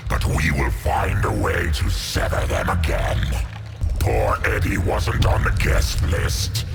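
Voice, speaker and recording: A menacing alien symbiote, proud and possessive, in a growling low voice with heavy fry. He speaks slowly, as a video game monster, with loud music underneath. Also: heavily acted. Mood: grim resolve. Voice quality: very deep, gravelly. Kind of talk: video game character lines.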